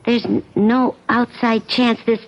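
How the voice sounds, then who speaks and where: An actress playing a conniving, cheating wife, coaxing in manner, in a radio drama. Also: female voice, fairly high pitch, little pitch movement, slow pace, gravelly, lo-fi sound, exaggerated voice. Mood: coaxing, scheming. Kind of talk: 1970s radio drama acting.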